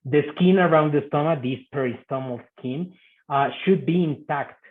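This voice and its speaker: Spanish-accented man on a tinny call. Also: fairly high pitch. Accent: Mexican Spanish. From Mexico. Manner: halting, many "uh" fillers and repeated words. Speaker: guest speaker, physician. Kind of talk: online medical presentation. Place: video call. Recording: tinny phone-like video call audio.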